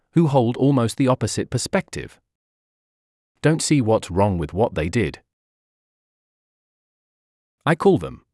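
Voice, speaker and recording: A man reads a written news article aloud at a measured, steady pace. His pitch rises and falls in an animated way, and the recording is dry, with no room sound. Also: no echo. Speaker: article narrator.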